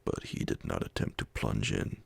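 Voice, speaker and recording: A man reading classic horror fiction as an ASMR audiobook, in a hushed, measured, breathy and gravelly voice. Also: no echo. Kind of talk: ASMR audiobook scripted narration.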